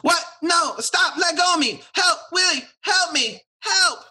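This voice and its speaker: An actor as a high-voiced eager country boy. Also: expressive, a little slow, pushed and bright, shouting, heavily acted. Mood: panicked. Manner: earnest, folksy. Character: eager, tender country boy, devoted to his pet. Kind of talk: radio play acting.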